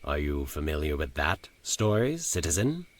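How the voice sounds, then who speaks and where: A man's voice: extremely low pitch, sing-song, normal speed, voice pushed down. A man voicing a sly, sadistic cloaked stranger in a horror story, speaking in a taunting, dreamy, commanding manner. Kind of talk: horror audio story dialogue.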